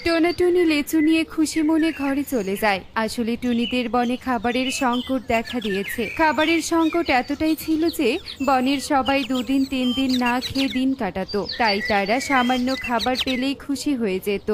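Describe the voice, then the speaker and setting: A woman's voice: high-pitched, moderate pace, background noise. A woman reading scripted narration for a children's cartoon story.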